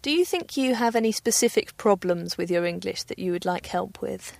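A woman radio presenter interviewing a learner and narrating in a clear, measured way. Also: medium pitch, animated, darkish tone, no echo.

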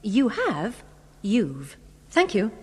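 A woman's voice: mid-range voice, huge pitch swings, drawn-out words, music in the background, heavily acted. An actress playing a prim young woman who carefully corrects grammar in a cartoon English lesson. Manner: precise, schoolteacher-like. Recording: reverberant with clicks behind.

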